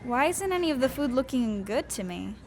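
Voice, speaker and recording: A woman's voice: sits high, very animated, brisk, over music. A woman playing a bewildered, timid young female zombie in an audio drama, hesitant and full of questions. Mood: uneasy. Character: bewildered, timid newly risen zombie girl. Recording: clear background noise.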